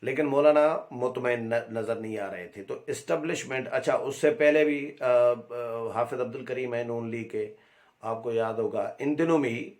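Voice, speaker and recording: A man with a Pakistani accent giving unscripted political commentary as a reporter, explaining and recounting in a halting way. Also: medium pitch.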